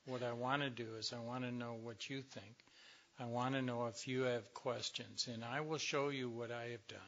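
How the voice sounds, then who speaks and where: An older man, a little hoarse, giving a slow, reflective talk to a recovery meeting with many pauses. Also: medium pitch, steady tone, fairly bright tone, lo-fi sound. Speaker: speaker at a recovery workshop.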